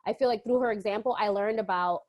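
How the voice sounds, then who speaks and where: A woman's voice: mid-range voice, fast talker, highs cut off. A woman from the Dominican Republic chatting as an interview guest, telling stories casually and quoting people as she goes. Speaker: podcast guest, entrepreneur.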